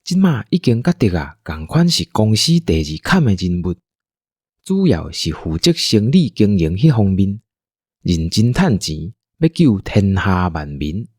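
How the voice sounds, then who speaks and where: A man with a Taiwanese accent narrating a scripted story as an audio drama narrator, in a husky voice. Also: on the low side, very animated, measured pace, a little mellow, no echo, studio quality.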